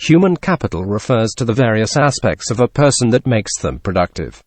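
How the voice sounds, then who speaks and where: A man's voice: lowish voice, sing-song, fairly quick, dry recording. Man reading aloud, very dry close sound. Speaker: test-practice narrator reading passages. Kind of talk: scripted read-aloud of academic texts.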